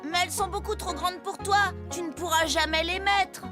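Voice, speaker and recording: A woman voicing a kind, eager young talking tractor in a children's cartoon, with a friendly, clear delivery. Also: very high voice, steady tone, moderate pace, music in the background. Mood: reassuring, friendly. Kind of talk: French children's cartoon dub.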